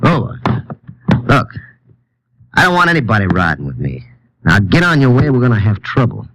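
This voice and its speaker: A surly American outlaw cowhand on the run. His mid-pitched voice moves widely up and down, yet the words come curt and guarded, in the manner of a vintage radio Western. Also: sing-song, moderate pace, fairly bright tone, low-quality recording. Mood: hostile, curt. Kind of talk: old-time radio drama acting.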